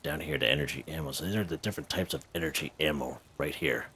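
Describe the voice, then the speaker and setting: Very deep-voiced man, chatty game guide. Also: fast, sharp tone, a little hiss or hum. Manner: chatty, checks in with "okay?" and "right?". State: slightly hoarse. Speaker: gaming video host. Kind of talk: casual game walkthrough.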